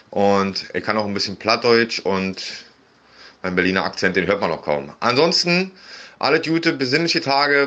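Male listener, bright and forceful, jovial, lo-fi phone recording. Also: lowish voice. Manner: jovial, playful, rambling. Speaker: listener sending a voice message. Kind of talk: recorded voice message. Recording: dull lo-fi voice message.

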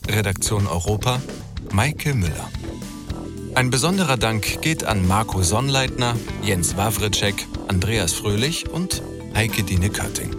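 A man's voice: on the low side, lively intonation, measured pace. A man announcing production credits in a scripted narration, with guitar music behind him.

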